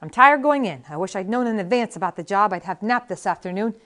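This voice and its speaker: A woman's voice: mid-range voice, lively intonation, quick pace, fairly bright tone, lightly acted. A woman voicing a cranky, boastful elderly male miracle healer who rambles in a querulous, bossy way. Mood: tired grumbling. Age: over 80 (figure).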